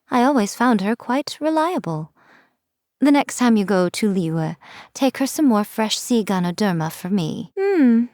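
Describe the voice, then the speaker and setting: A woman's voice: mid-range voice, a little mellow, dry recording, studio quality, lightly acted. A woman voicing a sly, teasing fox-spirit shrine priestess, speaking in a playful, wry, mocking tone. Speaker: game character. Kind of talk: scripted game voice lines.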